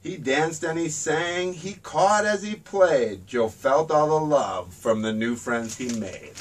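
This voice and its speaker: A man's voice: on the high side, animated, takes it slowly, recorded from a distance. A man reading his own rhyming children's book aloud in a sing-song storybook manner.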